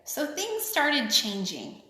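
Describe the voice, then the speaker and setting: A woman reading a picture book aloud to children, with expressive, simple phrasing and chatty asides. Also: high voice, takes it slowly. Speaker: children's author reading her own book. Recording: echoey, reverberant room.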